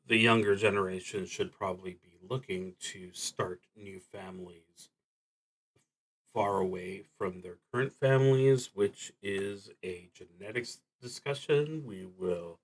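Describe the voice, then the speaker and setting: A man's voice: low voice, husky, rough recording. A man narrating his solo roleplaying game unscripted, thinking aloud in a hesitant, reflective way. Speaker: streamer playing solo tabletop roleplaying games. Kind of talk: unscripted play-through commentary, thinking aloud.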